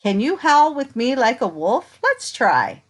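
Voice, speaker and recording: A woman reads a picture book aloud to children at a slow, measured pace. Her pitch moves up and down in a lively way, and she turns to her young listeners with inviting questions in the manner of a teacher. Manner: expressive, unhurried, asks inviting questions.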